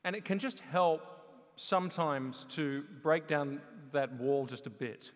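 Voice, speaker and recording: A man's voice: on the high side, a little slow. Thoughtful man speaking in a hall. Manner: thoughtful, searching for words. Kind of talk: reflective talk in a panel. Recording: long hall reverb.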